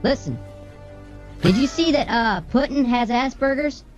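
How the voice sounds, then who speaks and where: A woman's voice: high voice, animated, measured pace. A brash, bossy woman co-hosting a show, reading the news with casual comic banter and swearing. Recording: slightly muffled, music underneath.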